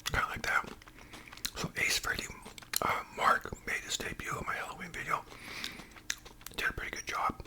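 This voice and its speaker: A man with a Canadian accent speaks in a breathy whisper, chatting casually and unhurriedly in ASMR style as host. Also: moderate pace, long pauses. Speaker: ASMR video creator and host.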